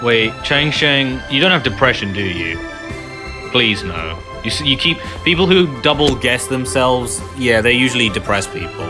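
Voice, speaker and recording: A man's voice: mid-range voice, animated, moderate pace. Man casually commenting on gameplay. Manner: rambling, offhand, self-correcting. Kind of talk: casual let's-play commentary. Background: game music.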